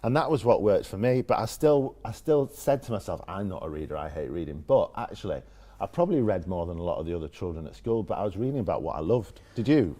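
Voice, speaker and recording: A man's voice: deep voice, expressive, fast, few pauses, dark tone. A man with a Northern English accent telling casual personal anecdotes in an informal, self-deprecating way, as an interview guest. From Leeds, northern England.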